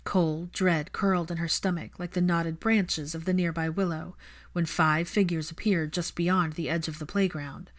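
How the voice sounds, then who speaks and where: Slightly low-voiced woman narrating a story. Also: steady tone, normal speed. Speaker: fan fiction narrator.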